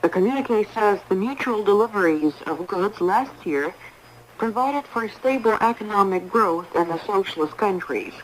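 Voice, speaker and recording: A woman reading a formal scripted radio news bulletin in a measured, impersonal way, on a 1980s shortwave broadcast. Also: medium pitch, animated, slight background noise, rough recording. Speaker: newsreader.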